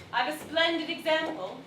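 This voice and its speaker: An actress playing a cheerful, witty young Victorian daughter in a stage play, playful and articulate. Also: female voice, high voice. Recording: echoey stage, distant mic, faint noise.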